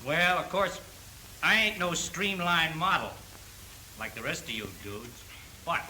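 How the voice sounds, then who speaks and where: A folksy old-time salesman, real bright forceful voice, slangy, sure of himself, hissy 30s film sound. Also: on the high side, a little slow, rough recording. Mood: wry. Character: folksy, self-confident older refrigerator salesman, kidded by colleagues. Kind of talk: 1930s training film acting.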